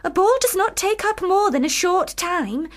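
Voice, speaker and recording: A woman's voice: very high voice, expressive, moderate pace. A woman in a radio play playing a precocious, earnest young girl with a prim, formal, childlike way of talking. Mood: persuasive. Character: young Charlotte Bronte, precocious earnest girl, older sister. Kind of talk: radio drama acting.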